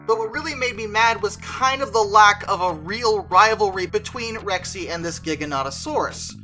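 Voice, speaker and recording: Video commentator with a bright, forceful voice at middling pitch, conversational and opinionated, leaning on key words, music underneath. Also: moderate pace. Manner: emphatic, conversational, stretches stressed words. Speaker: video creator and host. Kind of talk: opinionated movie review commentary.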